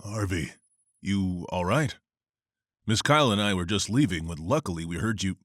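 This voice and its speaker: An actor playing a brooding wealthy vigilante in an animated comic, husky, measured and formal. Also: male voice, sits low, no echo, studio quality. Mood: polite, reserved. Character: brooding, reserved wealthy vigilante in his prime.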